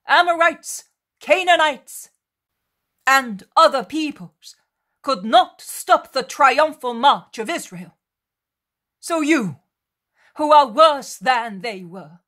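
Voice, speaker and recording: A woman's voice: high-pitched, bright, forceful tone, full character voice. A woman voicing a stern, commanding male holy prophet from a religious story, declaiming emphatically like an orator. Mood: stern, resolute.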